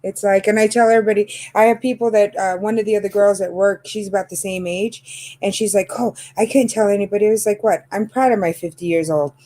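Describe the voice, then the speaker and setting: A woman's voice: medium pitch, steady tone, fast talker, few pauses, dark tone. A woman of fifty hosting a casual chat about makeup, talking with many fillers and laughs. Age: 50s. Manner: chatty, lots of fillers and laughs. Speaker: channel host.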